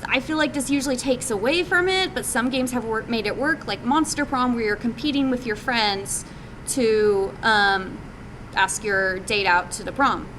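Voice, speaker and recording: A woman's voice: fairly high pitch, moderate pace, a bit bright, low-quality recording. A woman giving an informal live presentation as an indie game developer, in a hesitant, conversational way with casual phrasing. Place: live group meeting, presenting to attendees. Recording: live meetup recording with background noise.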